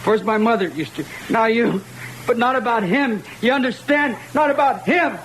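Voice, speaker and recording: An actor playing a hot-tempered, aggrieved working-class husband, agitated and pleading, in an old-time radio drama. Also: male voice, very high-pitched, normal speed, fairly bright tone, a little hiss or hum, rough recording. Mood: shaken, defensive.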